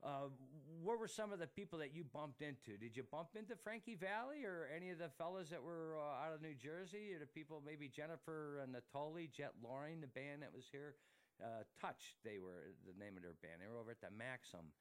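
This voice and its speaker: A man's voice: on the high side, brisk, clean tone. A man hosting a talk show in a casual, chatty way, often exclaiming and repeating himself as he interviews and plugs sponsors.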